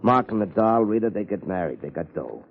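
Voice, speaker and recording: An American actor playing a shady, scheming ex-boxer blackmailer in a 1950s radio crime drama, with a gruff, husky voice and slangy tough-guy talk. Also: fairly low pitch, animated, brisk. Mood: scheming, wheedling. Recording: muffled vintage radio recording.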